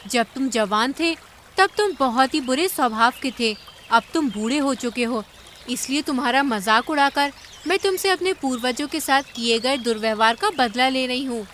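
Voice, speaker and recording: A woman's voice: sits high, fairly bright tone, a little hiss or hum. A woman voicing a smug, mocking young female fish in a cartoon.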